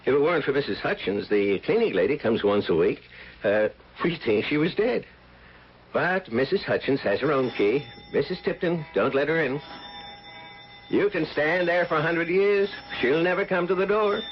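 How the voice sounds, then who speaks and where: An actor playing a nosy, stubborn working-class neighbour in a radio drama, talking in a folksy, colloquial way. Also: male voice, on the high side, expressive, moderate pace, natural voice. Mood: nosy, sceptical. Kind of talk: old-time radio drama acting. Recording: lo-fi old radio recording with hiss.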